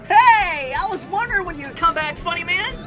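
A woman's voice: extremely high pitch, expressive, normal speed, heavy background noise. A woman voicing a cocky young male blue hedgehog hero in a comedic game fan dub, brash, sarcastic and taunting. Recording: loud game music behind.

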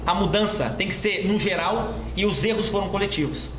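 A man's voice: high voice, brisk, fairly bright tone, reverberant, slight background noise, poor audio. A man, a football player confronting a reporter in a crowded press room, repeating his warnings. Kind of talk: heated press conference exchange. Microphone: handheld reporters' mics.

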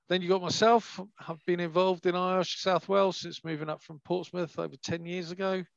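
A man's voice: fairly high pitch, vocal fry, no echo. A man chairing an online branch meeting over a video call, reading out introductions of the speakers. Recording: dull, dry video call sound.

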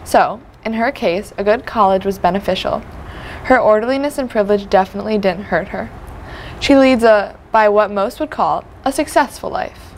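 A woman's voice: mid-range voice, moderate pace, a little hiss or hum, rough recording. A young woman interviewer reading an earnest scripted narration between interviews. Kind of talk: interview questions and scripted voice-over.

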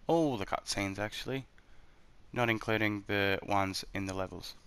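A man's voice: lowish voice, moderate pace, fairly bright tone, clear, resonant tone. A man casually introducing his gaming video, offhand and a bit shy. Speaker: gaming YouTuber.

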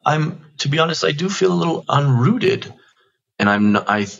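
A man, speaking earnestly and haltingly as he reflects on his life as a podcast guest. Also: on the high side, sing-song, gravelly, a bit bright, dull audio. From Toronto, Canada. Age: 40s. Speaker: podcast guest, activist spokesperson. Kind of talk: reflective personal storytelling.

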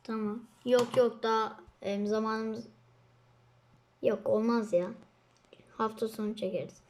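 A young girl chatting excitedly and casually as she hosts and commentates on a video game. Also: a little high, unhurried. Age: child. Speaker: host of a gaming channel.

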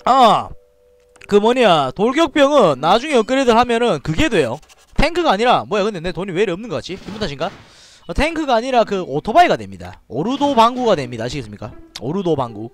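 Excitable Daegu-accented man streaming a game. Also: high voice, fast talker. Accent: Gyeongsang (Daegu) dialect. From Daegu, South Korea. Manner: excitable, shouts orders, mutters to himself. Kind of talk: live game commentary.

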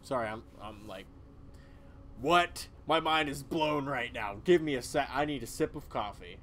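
A man's voice: medium pitch, lively intonation, normal speed, a bit bright, slight background noise. A man who hosts gaming videos, reading a story game's dialogue aloud and reacting to it. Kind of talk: voiced playthrough of a story game.

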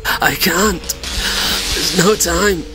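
A man playing a sickly, desperate invisible hunter losing his mind in an audio drama, halting and fraught. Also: high-pitched, creaky, over music, exaggerated voice, low-quality recording. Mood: terrified, failing. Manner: halting, fraught, fragmented delivery.

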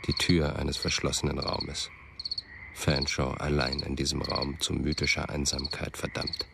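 Very deep, brooding narrator. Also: male voice, creaky, very noisy, full character voice. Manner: sombre, measured, introspective. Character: brooding, haunted male narrator searching for a lost friend. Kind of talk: literary radio drama monologue.

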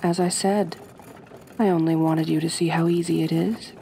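Gentle aristocratic vampire mistress played by a woman for ASMR roleplay: soft, breathy, lowish, creaky, softly commanding. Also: steady tone, normal speed, a little hiss or hum. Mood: doting, genteel. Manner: soothing, genteel, softly commanding. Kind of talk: ASMR roleplay, intimate acting.

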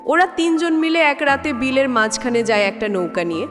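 A woman's voice: high voice, sing-song, moderate pace. A woman reading a scripted ghost story in a dramatic, suspenseful way. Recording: long reverb, clear background music. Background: music.

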